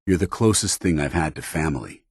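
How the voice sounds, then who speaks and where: An actor playing a stern, proud and earnest soldier companion in a video game, speaking formally with clipped, commanding delivery. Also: male voice, very deep voice, expressive, fairly quick, slightly rough, no room sound, clean studio sound, full character voice. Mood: sincere, warm.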